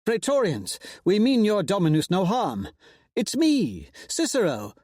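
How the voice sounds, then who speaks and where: Man doing a flowery aristocrat, theatrical, animated pitch, dry sound. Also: a little slow, dry recording, exaggerated voice. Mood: pleading. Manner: flowery, pompous. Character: theatrical nobleman, flamboyant and fretful.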